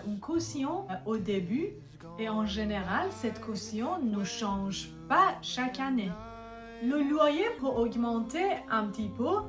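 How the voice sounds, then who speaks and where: A fairly high-voiced woman talks casually to camera, earnest and hesitant, pausing and correcting herself often. She sounds a little distant from the mic, with clear music playing underneath. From Iran. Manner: earnest, hesitant, many pauses and self-corrections. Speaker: vlogger. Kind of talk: casual vlog talk to camera.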